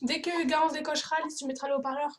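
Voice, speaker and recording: A woman's voice: sits high, level tone, rapid-fire. A young girl chatting casually during a prank phone call, on a noisy home-made recording. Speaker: prank caller, video co-host.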